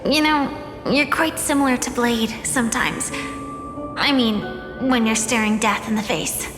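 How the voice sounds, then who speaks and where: A young woman voicing an earnest, soft-spoken game heroine, wary yet resolute. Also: a little high, a little slow, lightly acted. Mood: thoughtful. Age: young adult. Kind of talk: video game cutscene voice acting. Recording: long echoing reverb, game music behind.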